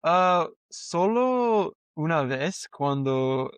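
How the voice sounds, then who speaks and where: A man speaking learner Spanish at an unhurried pace. His voice is clear and clean, and the sound is dry and close. He talks hesitantly, restarts often and fills gaps with quick yeses. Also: on the high side, expressive, no room sound. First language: English. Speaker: podcast co-host. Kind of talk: casual conversation in learner Spanish.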